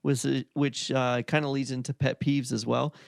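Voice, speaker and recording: A man's voice: mid-range voice, little pitch movement, normal speed, vocal fry, no echo, clean studio sound. A man on a podcast ranting bluntly with lots of swearing. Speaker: podcast co-host. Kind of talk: casual podcast chat.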